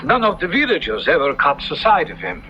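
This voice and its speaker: An actor playing a kindly, hesitant elder in an old-time radio drama, speaking in a halting, solicitous way. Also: male voice, fairly high pitch, huge pitch swings, pushed and bright, exaggerated voice. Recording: muffled 1970s radio drama with music.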